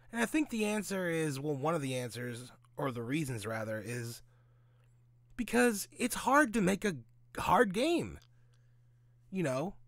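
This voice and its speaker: A man's voice: on the high side, a bit bright, dry recording. A man giving casual, opinionated commentary in a blunt, sweary, sarcastic way, often asking and answering his own questions. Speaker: host of a gaming commentary audio log.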